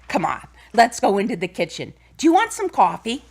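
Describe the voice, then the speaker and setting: A woman playing a warm, gently teasing mother of a grown son in an audio drama. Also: mid-range voice, huge pitch swings, fairly quick, creaky, husky, heavily acted. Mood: teasing, motherly.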